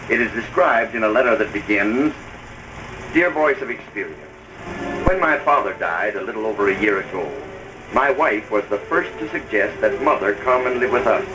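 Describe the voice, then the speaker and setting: A man's voice: fairly low pitch, animated, moderate pace. A man giving formal, didactic narration in the style of an old radio advice commentator. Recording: dull vintage soundtrack with music.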